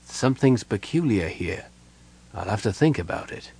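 A man voicing a big, gentle old innkeeper, fatherly and frail, speaking softly and haltingly with a breathy, earnest delivery. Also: on the low side, expressive, exaggerated voice. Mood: puzzled, kindly.

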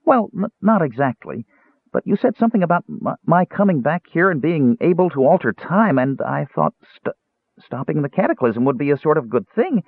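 Man acting little Kender, storybook fantasy, high-pitched, childlike, eager, naive, chatty, thin radio-like sound. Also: sing-song, moderate pace, vocal fry, husky, no room sound, exaggerated voice. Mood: nervous, stammering. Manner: stammering, earnest, chatty. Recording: narrow tinny radio-like band.

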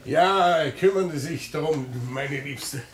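An actor playing a wealthy, imperious older merchant in a radio play, grandly polite and commanding. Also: male voice, fairly high pitch, slow. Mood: fond, tired. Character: wealthy imperious older merchant, genial host, curt with inferiors. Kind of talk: 1950s German radio play acting. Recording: 1950s radio recording, party chatter behind.

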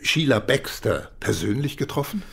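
A man acting in a German radio play as a middle-aged, gentlemanly British police chief who speaks formally and deliberately. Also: medium pitch, sing-song, vocal fry, husky, exaggerated voice. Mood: measured, thoughtful.

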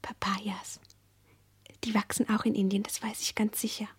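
An actress playing a dreamy, childlike young woman, speaking in a hushed, intimate, breathy whisper in a radio play. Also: mid-range voice, level tone, normal speed. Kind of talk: German radio play acting.

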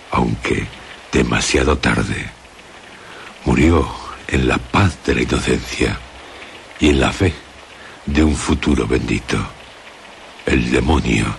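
Grim gothic radio storyteller, super gravelly, slow and solemn, anguished, faint rainy noise behind. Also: male voice, extremely low pitch, exaggerated voice. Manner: solemn, halting, dramatic. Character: sombre, very deep-voiced gothic storyteller reading anguished letter. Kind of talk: gothic radio drama narration.

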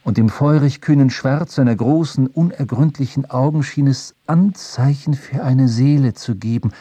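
An actor playing a reflective sailor who narrates a radio play in a measured, contemplative literary manner. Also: male voice, mid-range voice, mellow, slightly rough, no echo, lightly acted. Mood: tender, reflective. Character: reflective sailor narrator recalling his voyage.

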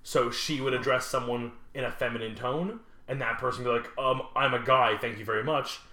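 Bright-voiced man telling stories animatedly. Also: mid-range voice, brisk, reverberant. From Montreal. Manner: animated storyteller, swears casually. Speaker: podcast co-host. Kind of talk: casual chat.

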